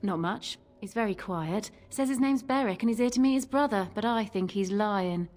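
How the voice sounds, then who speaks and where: An actress playing a jaded, wry tavern barmaid in a fantasy video game. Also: female voice, medium pitch, normal speed, darkish tone, faint music behind, lightly acted.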